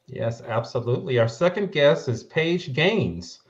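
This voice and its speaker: A man hosting a panel talk show, speaking in a measured way with frequent pauses. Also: fairly high pitch, animated, lo-fi sound.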